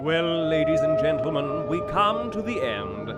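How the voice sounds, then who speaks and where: An actor playing a courteous old-time radio horror host, formal and drawn-out like a showman signing off. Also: a little high, sing-song, over loud music. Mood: theatrical, sinister. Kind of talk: 1940s radio horror show sign-off.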